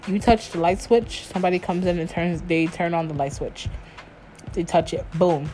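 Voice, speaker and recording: A woman's voice: on the low side, normal speed, dark tone, soft background music. A woman hosting her own show, talking loosely and casually on her own, with slang and frequent restarts. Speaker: podcast host. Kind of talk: casual solo chat.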